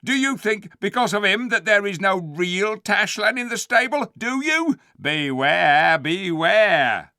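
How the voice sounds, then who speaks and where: A man's voice: sits high, animated, slow pace, hardly any pauses, bright, forceful tone, dry recording, clean studio sound, pitched above the performer's own voice, heavily acted. A man voicing a stern, commanding warrior captain who threatens a crowd. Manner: thundering, threatening.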